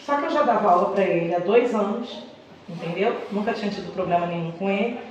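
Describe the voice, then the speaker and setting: A woman's voice: medium pitch, fast, highs cut off. A middle-aged Brazilian woman, a schoolteacher speaking conversationally as an interview guest and telling personal stories. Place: echoey indoor room, far from the mic. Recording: echoey room, distant mic, noisy background.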